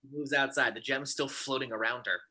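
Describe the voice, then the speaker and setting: A man with a husky voice reading scripted stage directions as narrator of a table read. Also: mid-range voice, fairly even intonation, brisk, bright, forceful tone.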